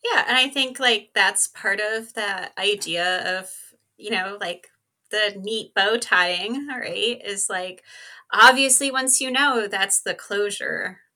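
A woman of medium pitch with a bright, crisp-edged tone talks as a guest on a podcast. Her delivery is informal and thoughtful. She checks in with small conversational tags as she goes. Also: slow pace. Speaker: podcast guest and co-host of another true crime show. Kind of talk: casual conversational interview talk.

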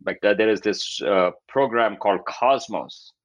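A man's voice: lowish voice, unhurried. A man in his fifties with a Pakistani accent, an academic explaining research in an interview and often acting out quotes as he goes. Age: 50s.

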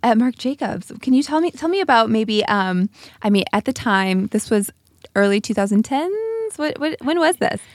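A woman's voice: on the high side, expressive, moderate pace, dry recording, studio quality. A woman, interviewing in a casual, informal and reactive way as a podcast host. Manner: informal, reactive, rephrases questions as she goes.

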